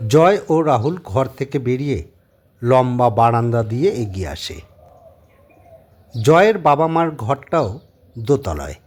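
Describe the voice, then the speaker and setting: A man with a husky voice reading scripted dramatic narration as the storyteller of an audio story. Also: medium pitch, sing-song, a little slow, pauses often, darkish tone.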